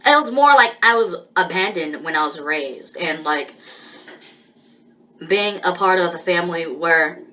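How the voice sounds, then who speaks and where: A woman speaks her mind in a slow, unhurried monologue, stopping and restarting her thoughts as she goes. Her voice sits on the lower side but has a bright, forward edge. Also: expressive, low-quality recording. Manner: halting and rambling, with many pauses and restarts. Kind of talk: personal spoken monologue.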